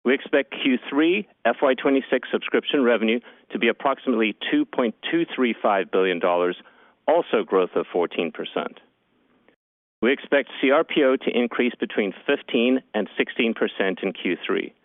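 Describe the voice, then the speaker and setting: A man working as a finance executive reads scripted earnings call remarks in a formal, measured manner. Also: medium pitch, no echo. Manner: formal, measured delivery of figures. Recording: narrowband conference phone line.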